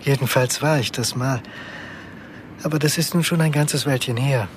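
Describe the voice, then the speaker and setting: An actor playing a weary, self-deprecating office worker in his fifties, speaking with resigned pauses in a radio drama. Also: mid-range voice, a little hiss or hum, lightly acted. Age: 50s. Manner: deliberate, pausing, resigned.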